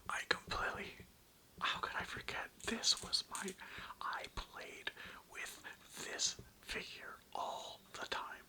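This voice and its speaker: An ASMR creator whispering in a breathy, unvoiced voice, rambling informally through a casual show-and-tell with some swearing. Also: takes it slowly, pushed and bright, poor audio.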